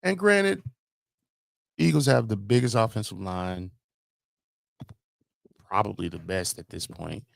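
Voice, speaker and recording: A man's voice: on the low side, measured pace, gravelly, pauses often, no echo. An American man co-hosting a sports podcast, talking casually and emphatically about football with strong opinions, repeating phrases to drive them home.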